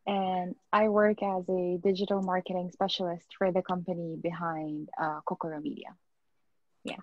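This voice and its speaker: A woman from the Philippines, chatting casually on a panel in a relaxed, hesitant way with many fillers. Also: fairly low pitch, normal speed, dry recording, dull audio. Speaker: panelist, digital marketing specialist.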